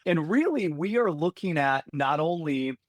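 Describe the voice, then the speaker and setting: A man's voice: fairly high pitch, animated, measured pace, no room sound. A man guest on a podcast, talking candidly and conversationally, often restarting phrases as he thinks aloud. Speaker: healthcare security executive, podcast guest. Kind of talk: conversational interview.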